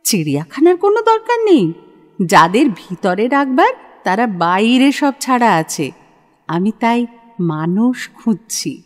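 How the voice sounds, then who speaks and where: A woman's voice: a little high, very animated, a little slow. A woman playing a shrewd, self-assured young woman in an audio play, speaking in a forthright, persuasive, dramatic way. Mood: bold, knowing. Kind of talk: audio play acting of a literary short story. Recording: long hall-like reverb.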